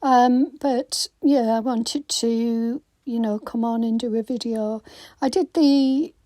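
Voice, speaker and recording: A woman hosting a craft channel, giving a casual voiceover with a croaky voice after being ill, hesitant with many ums and restarts. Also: on the high side, fairly even intonation, slow, warm tone, no room sound. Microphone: phone.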